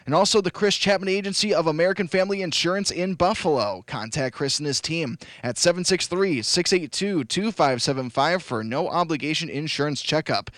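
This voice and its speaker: A man hosting a local radio call-in show, his voice hoarse and raspy from losing it, upbeat and repeating phone numbers slowly. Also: on the high side, fairly bright tone, no echo. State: hoarse, lost voice. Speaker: radio host. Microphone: studio broadcast mic.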